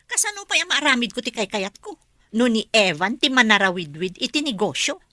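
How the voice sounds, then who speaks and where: A woman's voice: fairly high pitch, very animated, a little fast, bright, forceful tone, dry recording, clean studio sound. A woman with an Ilocano Filipino accent playing a bossy, greedy schemer in a melodramatic drama. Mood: scheming. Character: scheming greedy woman plotting to seize an inheritance.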